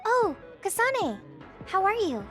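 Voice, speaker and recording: An actress playing an earnest, emotional and loyal young woman in a video game, with an expressive, pleading manner. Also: extremely high pitch, slow, faint music behind, full character voice. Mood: anxious, pleading.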